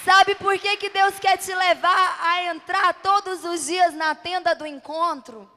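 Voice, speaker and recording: A woman with a high voice and a Brazilian accent preaches with passion and emphasis. She leans on rhetorical questions and short repeated phrases. Her voice rings with the long reverb of a large hall. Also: fairly even intonation, measured pace, sharp tone. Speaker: preacher, church bishop. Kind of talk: impassioned youth-event sermon. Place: church event before a congregation.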